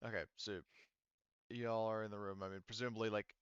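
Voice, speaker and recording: A man casually narrating a tabletop game as game master, improvising in a halting, wordy way. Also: on the low side, little pitch movement, smooth, no room sound, dull audio.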